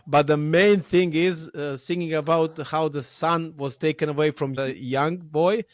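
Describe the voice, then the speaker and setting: A man's voice: a little high, fairly even intonation, no room sound. Chatty man who laughs a lot. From Serbia. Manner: laughs often, halting with restarts and fillers. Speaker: podcast host. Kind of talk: casual conversation.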